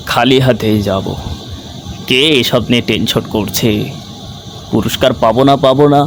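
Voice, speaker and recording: A man's voice: mid-range voice, vocal fry, noisy background. A man voicing a sly, cocky cartoon villager who muses aloud and connives. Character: sly, scheming male villager, cocky and careless. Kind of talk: acted cartoon monologue.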